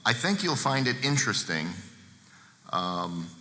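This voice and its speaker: A man speaking as a guest at a seminar, talking candidly and addressing the audience directly in a deep voice. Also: very animated, a little slow, pushed and bright, slight background noise. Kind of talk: seminar talk to a live room. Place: large seminar room with a projector. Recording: echoey hall.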